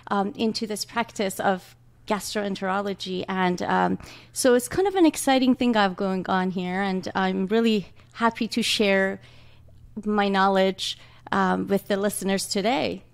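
A woman's voice: mid-range voice, steady tone, unhurried, gravelly. A woman in her forties from Iran with a slight Iranian accent, a doctor speaking earnestly as an interview guest into a studio mic. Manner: earnest, warm, laughs often. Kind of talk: casual interview talk. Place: small home studio room. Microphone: large studio mic with headphones.

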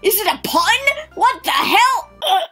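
A brash, aggressive cartoon fish-monster warrior woman, acted by a woman, blunt and indignant. The voice is very high and sharp, with big swings in pitch. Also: big pitch swings, a little slow, heavily acted. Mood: incredulous. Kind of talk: comic dub voice acting. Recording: voice over background music.

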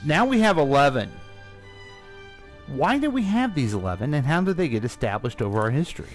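A man's voice: fairly high pitch, very animated, fairly quick, soft background music. A middle-aged man chatting casually as a podcast host who asks the questions, speaking into a close studio mic. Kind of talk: casual chat. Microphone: close studio microphone.

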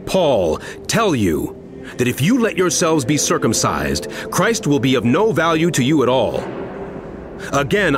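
An actor playing an earnest male apostle who pleads with and admonishes his flock, impassioned and deliberate, in a dramatized scripture reading. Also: a little high. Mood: stern, urgent. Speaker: actor in a dramatized audio Bible. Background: music.

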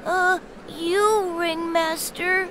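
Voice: very high voice, steady tone, very slow, full character voice. An actor voicing an earnest, naive little wooden puppet boy in a cartoon, childlike in manner. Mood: nervous, downcast. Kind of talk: animated cartoon acting.

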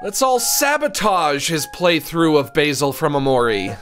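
Animated man streaming a game. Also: on the high side, a little slow, soft background music. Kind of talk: casual live gameplay commentary, reading game text aloud.

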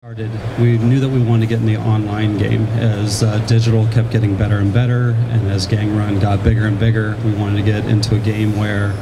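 A man in his thirties gives a conversational account as a business owner on a panel. His voice is fairly low and monotone. A noisy exhibition floor sounds behind the handheld mic. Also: fairly quick, few pauses. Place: large indoor exhibition hall. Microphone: handheld microphone. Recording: noisy trade show floor, ventilation hum.

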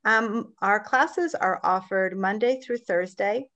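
A woman from the college staff speaks on an online panel over a video call. She lays things out in a plain, matter-of-fact way, with an even, middle-pitched voice. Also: slow pace, dull audio.

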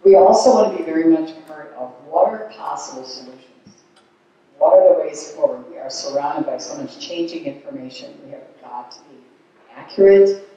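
An older woman, around her seventies, giving an earnest, measured report to a church meeting through a handheld microphone. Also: deep voice, animated, dark tone, lo-fi sound. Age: older, 70s. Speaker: ministry committee member giving advocacy report. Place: large church sanctuary, indoors. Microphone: handheld microphone at lectern. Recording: echoey church hall, distant mic.

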